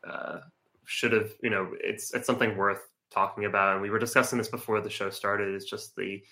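A man working as the show's producer, speaking casually and haltingly. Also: on the low side, little pitch movement, bright, forceful tone. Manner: halting, hesitant. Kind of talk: casual chat.

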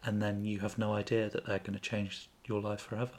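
A man's voice: fairly low pitch, little pitch movement, a little fast, darkish tone. A man co-hosting a comedy podcast, reading his own old fiction aloud and often laughing at his writing between bits of banter. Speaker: podcast co-host.

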